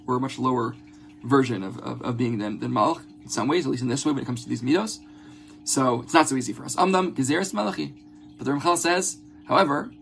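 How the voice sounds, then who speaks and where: Fast-talking man giving an informal religious lesson. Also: mid-range voice, vocal fry, slight background noise. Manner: earnest, conversational, repeats phrases and checks in with "right?". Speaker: religious teacher.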